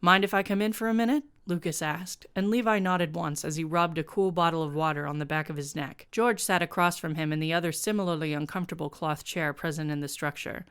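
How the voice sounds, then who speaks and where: A woman with a clear, smooth voice pitched on the lower side reads her own comic fiction aloud. Her delivery is sardonic and snarky, like an author presenting to viewers. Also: fairly even intonation, brisk, few pauses, a bit bright. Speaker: fan fiction writer and show presenter.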